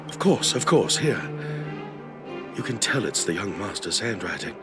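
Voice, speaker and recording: A man's voice: sits low, sing-song, normal speed. A man playing an anxious older family retainer in a video game, courteous, apologetic and hesitant. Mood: obliging. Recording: loud game music behind voice.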